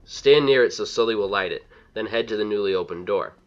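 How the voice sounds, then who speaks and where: A man's voice: fairly low pitch, a little fast. A man giving casual, scripted video game walkthrough commentary in a blunt, wry manner, on a slightly dull recording.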